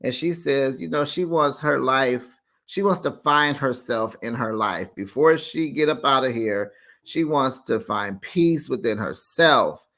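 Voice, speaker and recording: A man's voice: medium pitch, measured pace, pushed and bright. A man hosting a casual recap show, chatty and gossipy, acting out quotes in a raised voice and laughing along.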